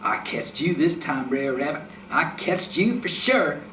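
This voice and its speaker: A man's voice: on the high side, very animated, unhurried, fairly bright tone, a little hiss or hum, pitched above the performer's own voice. A man voicing a mean, sly male fox who snarls and bosses, recorded in an echoey room from a distance. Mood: snarling. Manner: snarling, bossy, demanding.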